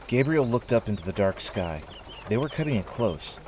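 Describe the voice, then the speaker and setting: Low-voiced man narrating an audio drama. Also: sing-song, normal speed, a little mellow, a little hiss or hum. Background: music.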